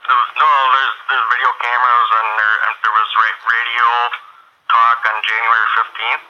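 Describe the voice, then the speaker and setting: A man conducting an informal phone interview, asking questions in a halting, repetitive way. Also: mid-range voice, level tone, clear, resonant tone. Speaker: interviewer, community watch member. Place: telephone call. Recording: band-limited phone call with faint noise.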